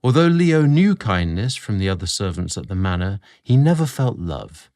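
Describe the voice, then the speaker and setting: A British man narrating a scripted story, theatrical and deliberate in his phrasing, drawing out words as a podcast host. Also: fairly low pitch, very animated, a little mellow, dry recording, studio quality.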